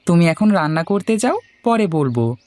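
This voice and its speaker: A woman's voice: lowish voice, very animated, normal speed, a little mellow, no echo. A woman voicing a playful young village barber husband in a cartoon, easygoing and coaxing. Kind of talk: Bengali cartoon voice acting.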